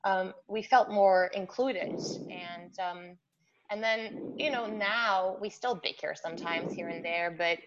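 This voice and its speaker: A woman's voice: mid-range voice, slow pace. A young woman from Norway, a pianist, answering interview questions in a chatty way, with many ums. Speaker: concert pianist guest. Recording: muffled remote line with background noise.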